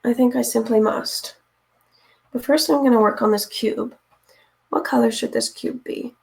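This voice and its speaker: A woman with a raspy, hoarse voice chats casually with viewers while she paints. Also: on the high side, normal speed, darkish tone. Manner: chatty, talks to viewers while working, often unsure what to do next. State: hoarse from reading aloud. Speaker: streamer painting live.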